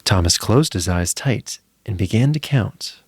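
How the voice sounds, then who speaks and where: A man's voice: on the low side, animated, unhurried, slightly rough, no echo, clean studio sound. A man narrating a spooky children's story from a script in a dramatic, suspenseful way.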